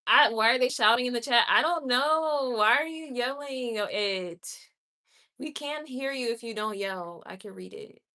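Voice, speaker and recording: A woman's voice: a little high, unhurried, pushed and bright. A woman in her late twenties chatting candidly and with strong opinions on a livestream, answering her viewers' comments directly. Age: 20s. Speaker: livestream host.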